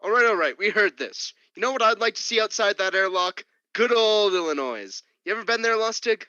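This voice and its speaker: A man's voice: high voice, animated, fairly quick, pushed and bright, clean tone, no echo. An actor playing a homesick, chatty American crewman in a science fiction radio play, with a folksy, casual delivery. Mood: nostalgic. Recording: dull, lo-fi dry recording.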